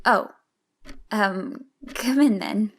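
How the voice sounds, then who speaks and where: A woman's voice: mid-range voice, drawn-out words, very noisy. A woman playing a hot-tempered, sarcastic young woman in an audio drama, with a snappish manner.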